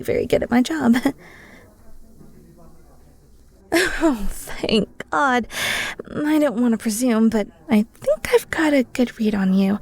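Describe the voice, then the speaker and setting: Woman playing a flirty, high-voiced, creaky cheesemonger. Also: darkish tone, full character voice. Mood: playful, flirty. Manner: playful, theatrical, chatty, with flustered stammers. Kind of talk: roleplay audio drama, one-sided conversation with the listener.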